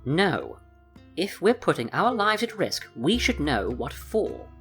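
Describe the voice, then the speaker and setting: A woman's voice: sits low, very animated, slow, faint music behind, full character voice. A woman playing a mistrustful, challenging merfolk man in a fantasy audio drama. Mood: defiant. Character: mistrustful, challenging merfolk rogue adventurer, male.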